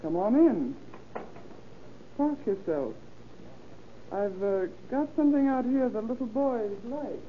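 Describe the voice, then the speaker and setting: Sly gangster's moll, tinny radio. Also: female voice, on the high side, expressive, slow pace, long pauses. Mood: coy. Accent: American. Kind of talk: 1930s radio crime drama acting. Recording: tinny, noisy old radio recording.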